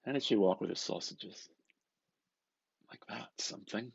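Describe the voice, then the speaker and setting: A man gives an expressive, theatrical reading of a literary novel, moving between murmured asides and louder phrases. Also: fairly low pitch, normal speed, vocal fry, breathy edge, no echo, highs cut off. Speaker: reader of a novel.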